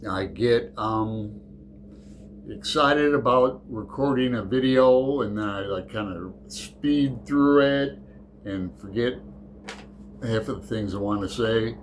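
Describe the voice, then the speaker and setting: A man hosts his own book channel in an unhurried, chatty way. He rambles, often halts mid-thought and starts his sentences over. There is a faint ambient hum behind him. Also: mid-range voice. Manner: rambling, halting, full of restarts. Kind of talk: casual chat.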